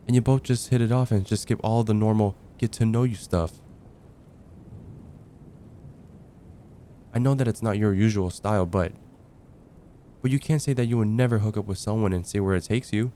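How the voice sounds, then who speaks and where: A young man playing a casual heartbreaker friend confiding about dating in a conversational, hesitant ASMR roleplay, with sighs and trailing pauses. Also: lowish voice, mellow, slight background noise, natural voice. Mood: mildly defensive. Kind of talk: ASMR roleplay, acted one-sided conversation.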